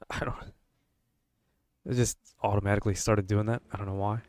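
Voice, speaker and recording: A man's voice: fairly low pitch, steady tone, normal speed, a little mellow. A man streaming a horror game, grumbling casually and swearing, wary of what lies ahead. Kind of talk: casual livestream commentary.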